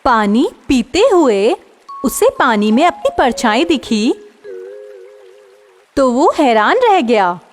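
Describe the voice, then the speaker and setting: Slow, lively woman storyteller. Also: high voice, long reverb tail, soft background music. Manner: slow, clear, expressive storytelling. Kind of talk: scripted narration of a children's story.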